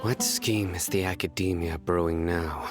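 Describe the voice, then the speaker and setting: A man playing a stern, serious guard leader in a video game, grave and deliberate in delivery. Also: low-pitched, fairly even intonation, lightly acted. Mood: suspicious. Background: music.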